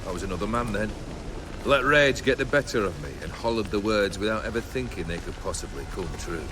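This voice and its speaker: A man's voice: on the low side, brisk, noisy background, lightly acted. An actor playing a cynical, imperious bandit nobleman in a video game, with theatrical, sardonic and ornate delivery. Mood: sardonic, reflective. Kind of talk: video game cutscene acting.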